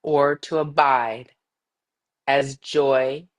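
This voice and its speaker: Woman meditation guide: gentle, soothing delivery, measured phrasing, long pauses between instructions. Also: a bass voice, steady tone. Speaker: yoga nidra meditation guide.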